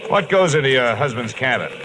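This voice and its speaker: Man playing hard-boiled insurance investigator: mid-pitched, brusque, wry, clipped lines, vintage radio with clear background noise. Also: expressive, brisk, natural voice. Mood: probing. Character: tough, wisecracking male insurance investigator. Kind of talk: 1950s radio detective acting and narration. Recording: noisy old-time radio recording.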